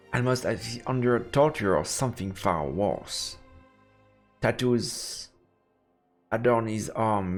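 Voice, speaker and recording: Creaky-voiced man streaming a game. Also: fairly low pitch. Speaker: let's play streamer. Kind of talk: reading game narration aloud with casual reactions.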